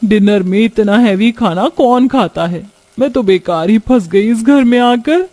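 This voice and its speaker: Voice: mid-range voice, measured pace, a little mellow, lightly acted. A man voicing an overworked young daughter-in-law in a cartoon story, resentful yet dutiful, complaining to herself and polite to her elders. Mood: exasperated.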